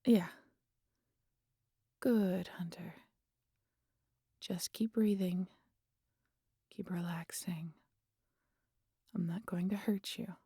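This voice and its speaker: A woman playing a sardonic, guarded vampire in an intimate audio roleplay, with a gravelly, husky voice and a teasing, exasperated manner that slips into breathy whispers. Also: on the low side, steady tone, drawn-out words, pauses often, warm tone, no room sound, studio quality, heavily acted. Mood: soothing, hushed. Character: sardonic, guarded female vampire hypnotizing an injured hunter.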